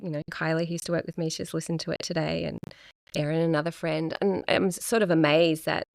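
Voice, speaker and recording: A middle-aged woman, talking casually and reflectively as a podcast guest, pausing often. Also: sits low, flat delivery, gravelly, no room sound. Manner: soft-spoken, reflective, with many pauses and fillers. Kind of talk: casual chat.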